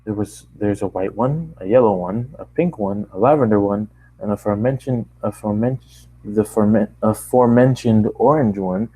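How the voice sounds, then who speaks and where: A man narrating a first-person story as an amateur reader, halting now and then to correct his phrasing. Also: lowish voice, dark tone, breathy edge, rough recording.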